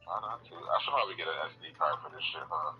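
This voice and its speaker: A man's voice: low-pitched, very fast, slightly rough. A man chatting casually and playfully while gaming, swearing now and then. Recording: dull lo-fi audio. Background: music.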